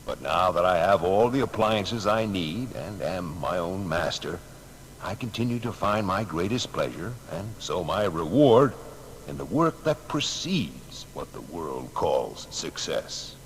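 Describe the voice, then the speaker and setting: A man playing an earnest, reflective inventor, reciting memoir in a measured way for an audio biography. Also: deep voice, very animated, full character voice, poor audio. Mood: reflective, content. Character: earnest, reflective male inventor recalling his work. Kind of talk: dramatised reading of memoir quotations. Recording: faint background noise.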